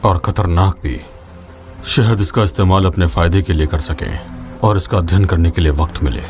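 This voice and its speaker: An actor playing a grave, wary veteran demon hunter and scholar in a fantasy game dub, solemn and measured. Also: male voice, low-pitched, fairly even intonation, darkish tone, natural voice. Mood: grave, pondering. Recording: music behind the voice.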